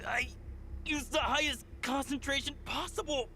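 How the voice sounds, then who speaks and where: A woman voicing an anguished, defiant youth in a video game, halting and emotional. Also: sits high, pushed and bright, faint music behind. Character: anguished, defiant youth clinging to a loved one. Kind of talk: dramatic video game voice acting.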